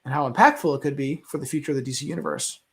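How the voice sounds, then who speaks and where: A man's voice: mid-range voice, racing, fairly bright tone. A man on a panel chatting casually and with firm opinions about films, building his arguments with rhetorical questions. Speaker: panelist on a movie discussion show. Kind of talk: casual opinionated film chat.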